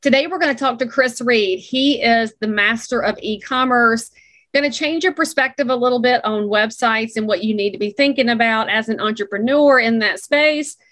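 A woman hosting a podcast, her voice sitting a little high. She talks in an animated, opinionated way as she interviews a guest. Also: normal speed. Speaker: podcast host.

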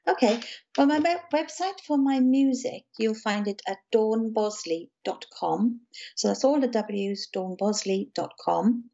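Gentle woman with a South Welsh accent. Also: a little high, unhurried, vocal fry, highs cut off. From South Wales. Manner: gentle, calm, chatty with small laughs. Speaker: guest, musician and author. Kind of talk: casual interview chat.

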